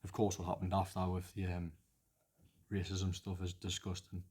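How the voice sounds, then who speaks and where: Geordie man, podcast co-host, hoarse with flu, very low and flat, halting delivery. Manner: halting, hesitant, restarts often. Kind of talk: casual football analysis chat.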